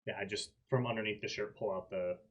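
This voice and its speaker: Lower-voiced man playing a tabletop game. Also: steady tone, quick pace, muffled recording. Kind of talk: casual roleplay chat.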